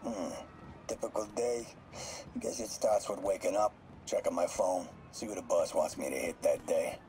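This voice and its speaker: A very deep, dark-voiced mob enforcer. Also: male voice, fairly even intonation, normal speed, a little hiss or hum, low-quality recording. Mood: casually matter-of-fact. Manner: matter-of-fact, guarded. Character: cold male mob enforcer confiding in his therapist.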